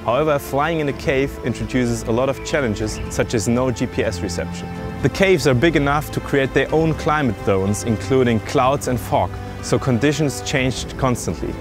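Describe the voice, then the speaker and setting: Male narrator, mid-range voice, calm and explanatory over music. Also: medium pitch, normal speed. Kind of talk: documentary narration. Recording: music bed under voice.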